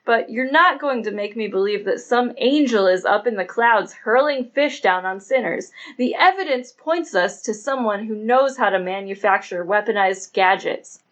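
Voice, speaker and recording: A sober female police inspector in an audiobook novel, read by a man. She is skeptical and firm, laying out her reasoning in measured phrases. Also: sits high, fairly bright tone.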